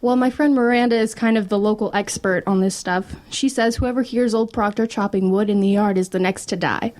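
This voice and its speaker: A woman's voice: medium pitch, steady tone, fairly quick, a little mellow, lightly acted. A woman playing a guarded, wry teenage older sister in an audio drama, casual and offhand. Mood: reassuring.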